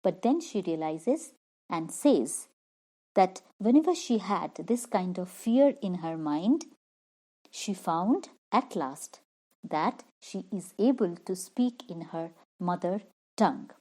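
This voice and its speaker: A woman's voice: on the low side, expressive, takes it slowly, warm tone, poor audio. A woman explaining a poem line by line as a literature teacher, repeating and rephrasing each point.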